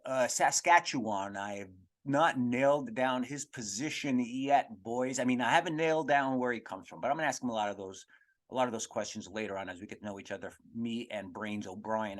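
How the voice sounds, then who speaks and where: A man's voice: on the low side, normal speed. A man hosting a podcast in a casual, comedic monologue, rambling, repeating himself for emphasis and swearing freely as he answers questions. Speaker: podcast host.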